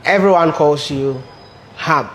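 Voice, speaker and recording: Interviewer: man, somewhat higher pitch, probing and teasing, faint noise behind. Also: slow, a bit bright. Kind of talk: interview questions.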